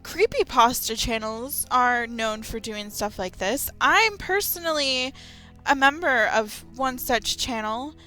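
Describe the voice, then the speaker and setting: A woman's voice: fairly high pitch, sharp tone. A woman giving casual, rambling opinion commentary as a YouTuber, with sarcastic asides. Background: light background music.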